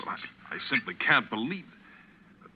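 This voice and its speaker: An actor playing a principled, uneasy hunter trapped as a guest, speaking earnestly and indignantly in a 1940s radio drama style. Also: male voice, mid-range voice, big pitch swings, unhurried, breathy edge. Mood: appalled, defiant. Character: principled, uneasy male big-game hunter held as guest. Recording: tinny, noisy vintage radio recording.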